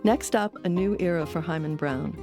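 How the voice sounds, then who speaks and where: A woman's voice: lowish voice, moderate pace, over music. A woman reading scripted narration as the host of a documentary podcast.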